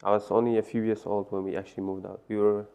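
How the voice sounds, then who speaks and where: A man with a very low, gravelly voice, dark in tone. He talks hesitantly as an interview guest, with lots of fillers and restarts. The sound is muffled and lo-fi. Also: flat delivery, fast. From Afghanistan. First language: Farsi. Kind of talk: personal story interview.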